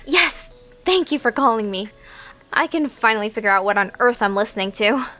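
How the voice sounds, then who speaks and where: A woman's voice: on the high side, expressive, fairly quick, bright, forceful tone, soft background music. A woman playing a curious, exasperated young narrator in an audio drama, chatting informally and animatedly. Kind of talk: conversational audio drama dialogue.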